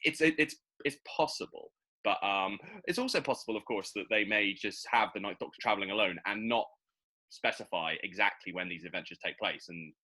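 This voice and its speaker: A man's voice: fairly low pitch, fairly quick, pushed and bright. A man chatting casually and animatedly as a podcast host, like an enthusiastic fan. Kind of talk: casual podcast chat about a TV series.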